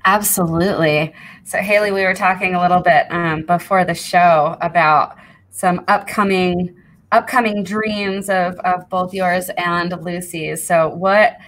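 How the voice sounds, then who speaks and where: A woman's voice: on the low side, a bit bright. A woman hosting a live online show, upbeat and encouraging, talking to guests and viewers at home. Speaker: show host.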